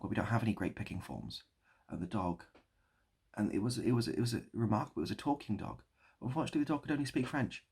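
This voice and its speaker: A British man improvising a rambling story and chatting as a video host, mock-nostalgic and often repeating his phrases, with a husky, gravelly voice. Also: on the low side. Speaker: online video creator and host.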